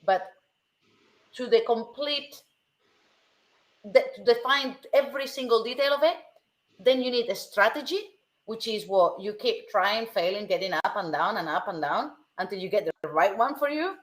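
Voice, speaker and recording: A woman from Germany, co-hosting a casual discussion, speaking emphatically and slowing down to stress key words. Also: fairly high pitch, animated. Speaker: co-host. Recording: roomy video call audio.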